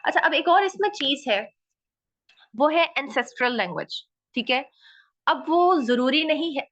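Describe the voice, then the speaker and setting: A woman's voice: a little high, fairly bright tone. A woman with a Pakistani Urdu accent, a linguistics researcher explaining concepts and often checking in with "okay?". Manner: frequent "okay?" checks, mixing in English phrases. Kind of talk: explanatory talk. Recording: dull lo-fi audio.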